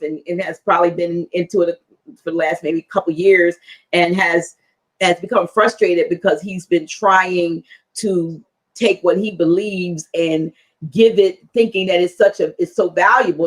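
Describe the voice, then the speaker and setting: A woman hosting an interview talk show, speaking haltingly and repeating words as she builds her point. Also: on the low side, rough recording.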